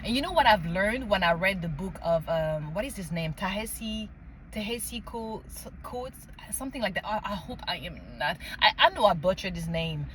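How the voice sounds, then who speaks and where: A woman with a French accent shares personal stories in an unscripted, emphatic way, stopping to check that the listener follows. Her voice sits at a middle pitch, and some ambient background noise runs behind it. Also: lively intonation, normal speed, a bit bright, rough recording. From France and Mali. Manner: emphatic, often checks in with "okay?" and "right?". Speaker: spiritual vlogger sharing personal story. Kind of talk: casual unscripted personal storytelling.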